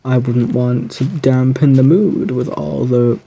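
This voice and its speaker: Male actor as suave woodland villain, gravelly and mellow, genteel menace, theatrical phrasing, ASMR audio roleplay. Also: medium pitch, fairly even intonation, takes it slowly, dull audio, exaggerated voice. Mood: teasing, musing. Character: suave, sinister gentleman predator lurking in dark woods, theatrically menacing.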